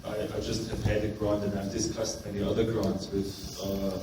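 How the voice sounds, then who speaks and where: A man's voice: lowish voice, little pitch movement, moderate pace, noisy background. Hesitant man commenting in an echoey hall. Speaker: audience member. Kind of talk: audience comment. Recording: very echoey room from far off.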